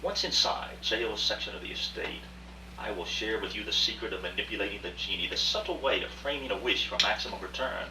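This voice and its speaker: In a roomy, echoing space, an actor plays a self-important reclusive rich man in a radio play. His low voice is formal and conspiratorial, heard slightly away from the mic over faint noise. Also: brisk, close to an everyday voice. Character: secretive, self-important wealthy male recluse. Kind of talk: live radio play acting. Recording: echoey distant live rehearsal, faint hum.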